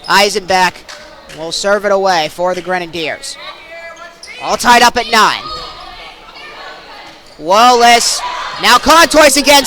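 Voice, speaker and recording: A young man calling live volleyball play-by-play, excitable and projecting over the action. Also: high voice. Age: young adult. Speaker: play-by-play sports announcer. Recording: crowd and gym noise behind.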